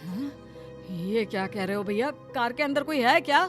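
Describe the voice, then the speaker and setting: A woman voicing a caring, brave village husband in an animated moral story, earnest and protective. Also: a little high, moderate pace, faint music behind, close to an everyday voice. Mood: alarmed, determined.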